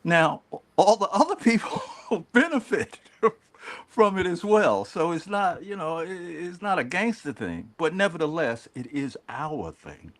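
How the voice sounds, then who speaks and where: This is a man on a discussion panel who talks slowly and with care. His pitch sits high and swings widely. His delivery has a preacherly, measured cadence. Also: big pitch swings. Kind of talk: casual group discussion.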